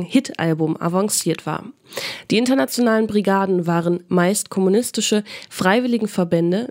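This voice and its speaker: A woman's voice: medium pitch, a little mellow. A woman reading scripted narration for a radio documentary feature.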